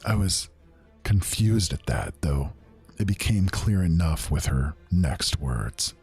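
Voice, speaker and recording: A man tells a dark fantasy horror tale in a low voice. He narrates slowly and dramatically, leaning into the dread, with loud music playing beneath him. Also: animated, breathy edge. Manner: slow, dramatic, intense narration. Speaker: storyteller. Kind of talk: spoken horror story narration.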